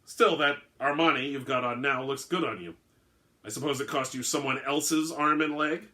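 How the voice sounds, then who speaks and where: A man's voice: medium pitch, fairly even intonation, moderate pace, bright, forceful tone, close to an everyday voice. A man playing a scruffy, weary, sardonic vampire hunter who is dry, needling and opinionated, in a story reading. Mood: teasing. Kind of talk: dramatic reading of an all-dialogue short story.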